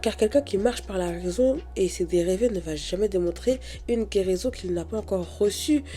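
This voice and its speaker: A woman's voice: mid-range voice, normal speed, mellow, faint music behind. Woman with a stuffy head cold. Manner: chatty, addresses listeners directly. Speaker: podcast host.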